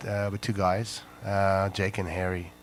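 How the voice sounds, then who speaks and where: A middle-aged man from Israel, a musician guest on a live radio show, talking in a halting way with many fillers. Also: deep voice, flat delivery, slight background noise.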